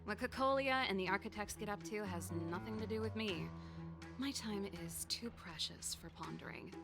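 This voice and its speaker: A woman's voice: medium pitch, moderate pace, close to an everyday voice. A woman playing an easygoing, self-assured mechanic and former researcher in a video game, breezy and confiding, with theatrical delivery. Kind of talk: scripted game dialogue. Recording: game music behind the voice.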